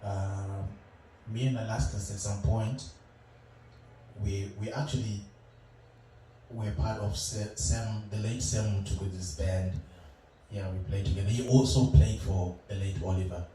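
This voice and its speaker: A young adult man from Zimbabwe, a musician chatting casually as an interview guest, relaxed and hesitant with many fillers. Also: fairly low pitch, measured pace. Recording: roomy, distant, noisy room.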